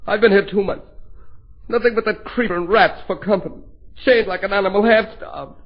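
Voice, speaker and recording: Brief: a high-voiced, desperate chained prisoner, frantic and repetitive, vintage radio drama, dull recording. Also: male voice, brisk. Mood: hysterical despair. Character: despairing, half-starved captive man, chained, breaking into hysteria. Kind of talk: 1930s radio acting.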